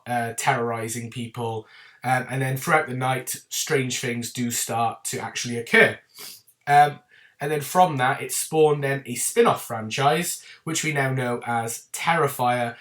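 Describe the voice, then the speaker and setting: A man hosting his own film review channel, talking casually to camera while sounding bunged up and a little rough from a winter cold, chatty and full of ums. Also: mid-range voice, a bit bright, far from the mic. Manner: chatty and rambling, with many ums and apologies. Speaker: YouTube channel host.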